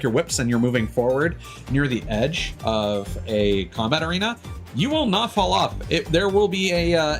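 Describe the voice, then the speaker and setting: Man, game streamer, casual rambling commentary, halting delivery, mid-range voice, game music behind. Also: medium pitch. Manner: casual swearing. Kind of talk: casual let's-play commentary. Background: video game music.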